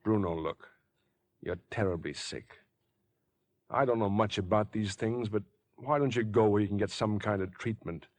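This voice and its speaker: An American actor playing a decent, agitated young man cornered by murder, in tense, halting, earnest 1950s radio drama style. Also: on the low side, darkish tone, no room sound, lightly acted. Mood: earnest, uneasy. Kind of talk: 1950s radio suspense acting. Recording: vintage 1950s radio recording with music.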